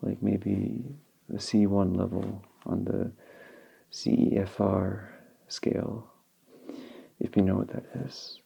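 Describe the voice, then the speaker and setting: A young man whose first language is Polish, speaking softly and slowly as an ASMR creator answering viewer questions. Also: on the low side, gravelly, breathy edge, lo-fi sound. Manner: slow and relaxed, with small restarts. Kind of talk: soft-spoken ASMR Q&A. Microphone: handheld field recorder.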